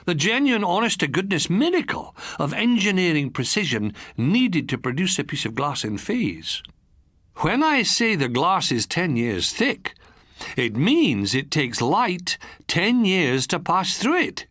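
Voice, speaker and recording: A man's voice: fairly high pitch, big pitch swings, a little slow, fairly bright tone, no echo, studio quality, pitched above the performer's own voice, heavily acted. Man playing an eager, swooping-voiced showy salesman. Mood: effusive, patronising. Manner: effusive, emphatic, patronising. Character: eager salesman of magical glass, smooth, patronising, showy.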